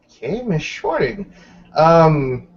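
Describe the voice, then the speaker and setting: A man's voice: fairly high pitch, big pitch swings, slow, muffled recording. A man playing a casual, teasing young packmate, a female character in a tabletop game, with hesitant, informal speech. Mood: hesitant, helpful.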